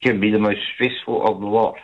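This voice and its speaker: A man's voice: on the low side, steady tone, brisk. An older man calling a radio show, earnest and eager to please, repeating himself. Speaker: caller. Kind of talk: casual phone-in chat. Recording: dull phone line.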